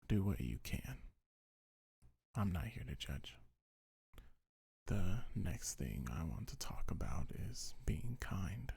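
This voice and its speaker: Male ASMR voice for comforting affirmations: low, gentle and unhurried, breathy half-whisper with heavy gravelly creak, close-miked. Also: dry recording. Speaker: ASMR creator. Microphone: close microphone, dry.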